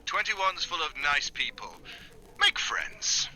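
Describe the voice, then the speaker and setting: A man's voice: fairly high pitch, big pitch swings, takes it slowly, sharp tone, exaggerated voice, rough recording. A man playing a breezy, unflappable secret agent in 1940s radio style, suave and offhand through a telephone filter. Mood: placating. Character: breezy, unflappable male secret agent, smooth with his sweetheart.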